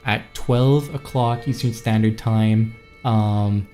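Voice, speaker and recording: A man's voice: on the low side, steady tone, slow pace, dark tone, soft background music. A man from Montreal chatting loosely with viewers on a live stream, rambling and reading out chat. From Montreal, Canada. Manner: rambling, scattered, reads chat aloud.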